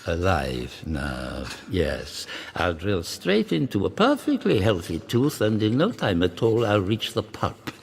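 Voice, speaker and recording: An actor in a radio drama playing a cold, courteous, sadistic older torturer, speaking in measured, pedantic phrases with menacing pauses. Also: male voice, deep voice, wildly swooping pitch, vocal fry, slight background noise, heavily acted. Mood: clinical cruelty.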